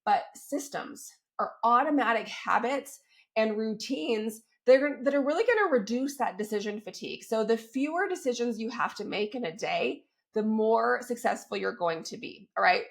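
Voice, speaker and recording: Woman fitness coach, fairly high voice, gravelly and crackly from a cold, upbeat and chatty. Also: expressive, moderate pace. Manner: upbeat and chatty, keeps checking in with "right?" and "okay?". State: has a cold, voice crackly and squeaky. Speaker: fitness coach and podcast host. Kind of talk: solo podcast, coaching talk.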